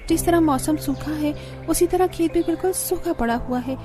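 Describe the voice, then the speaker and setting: A woman's voice: high voice, normal speed, music in the background, lightly acted. A woman voicing an earnest, dutiful young daughter-in-law, caring but firm, in a cartoon story. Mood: concerned. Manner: earnest, pleading. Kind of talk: Hindi cartoon story voice acting.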